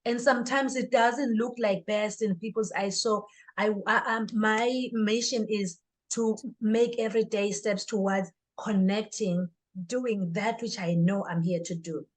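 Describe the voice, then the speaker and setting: A woman's voice: mid-range voice, fairly even intonation, a little slow. A woman speaking as an interview guest, a life coach and author, in an earnest, motivational way. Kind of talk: interview answer.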